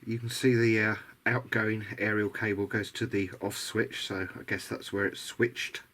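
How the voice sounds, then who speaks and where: A man's voice: on the low side, flat delivery, moderate pace, bright, forceful tone. A man giving casual, unscripted commentary as he works at a bench, musing and tentative as he thinks aloud like a hobbyist making a video diary. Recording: noticeable background noise.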